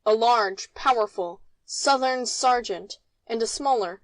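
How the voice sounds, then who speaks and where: A woman's voice: on the high side, unhurried, no room sound. A woman narrating, reading stage directions from a script.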